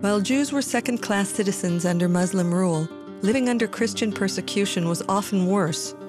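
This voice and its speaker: A woman giving scripted documentary narration in an even, formal delivery. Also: medium pitch.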